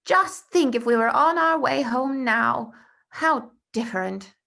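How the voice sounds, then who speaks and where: A woman's voice: high-pitched, lively intonation, slow pace, creaky, bright, forceful tone, full character voice. A woman voicing a lonely, homesick young schoolboy in a storybook, speaking in a plaintive, emphatic way. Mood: miserable.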